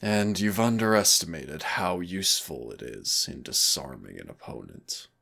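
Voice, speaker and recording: A man playing a male demon roommate in an intimate audio roleplay, gravelly and smug, teasing and menacing yet tender as he speaks straight to the listener. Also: lively intonation, bright, forceful tone, exaggerated voice. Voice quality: gravelly, low. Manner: slow, smug, teasing, addresses listener directly.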